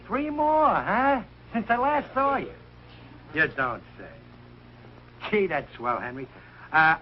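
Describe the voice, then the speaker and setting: A blustery, excitable working-class husband. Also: male voice, high voice, heavily acted. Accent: American. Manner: blustery, colloquial, plaintive. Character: bumbling, hard-up working-class family man, excitable and good-hearted. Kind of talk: 1940s TV sitcom acting. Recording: muffled, noisy 1940s television soundtrack.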